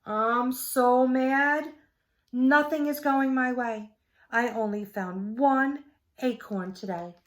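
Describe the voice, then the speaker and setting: A woman voicing a small, excitable storybook squirrel with loud, stretched-out words. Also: high voice, takes it slowly, voice pushed up. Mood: angry. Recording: echoey room.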